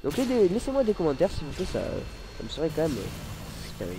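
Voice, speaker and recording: A man's voice: on the high side, huge pitch swings, normal speed, warm tone, slight background noise, poor audio. A man with a cold, commentating casually over his gameplay at a desk mic, rambling and hesitant with many fillers. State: has a cold. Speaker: gaming YouTuber.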